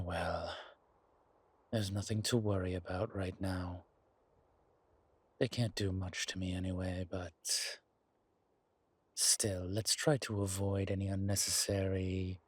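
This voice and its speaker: A man playing a weary, wry vampire elder in an audio roleplay, speaking in a slow, sardonic drawl with formal, old-fashioned wording. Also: deep voice, level tone, breathy edge, no echo, exaggerated voice. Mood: wry, resigned. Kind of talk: dramatic audio roleplay acting.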